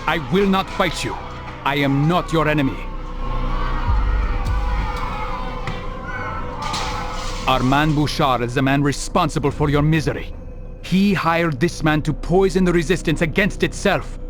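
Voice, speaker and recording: Guy acting as a stern assassin from a medieval video game, slightly high voice, terse and solemn, bit of music behind him. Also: moderate pace, natural voice. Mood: commanding, defiant. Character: stern, resolute medieval assassin, adult man.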